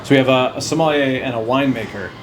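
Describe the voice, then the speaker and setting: A man's voice: medium pitch, rapid-fire. A male podcast host with a playful, joking manner, chatting casually with his co-hosts. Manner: jokey, playful banter. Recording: steady background noise.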